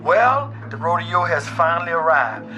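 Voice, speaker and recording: High-pitched rodeo announcer, male. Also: big pitch swings, moderate pace. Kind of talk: showman-like event announcing.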